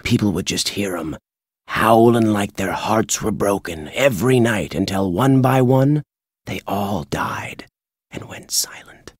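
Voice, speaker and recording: Husky, gruff folksy birdwatcher. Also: male voice, lowish voice, no echo, studio quality. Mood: hushed, ominous. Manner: crotchety, folksy, deliberate storyteller. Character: gruff, folksy male birdwatcher and local storyteller. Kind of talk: video game character dialogue.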